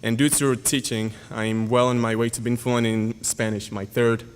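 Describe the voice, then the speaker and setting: A young man, a graduating senior whose first language is Yoruba, reading a formal, earnest speech at a podium with halting pauses and fillers. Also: medium pitch, level tone, clean tone. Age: young adult. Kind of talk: prepared speech read at a podium. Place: school auditorium. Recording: hall reverb.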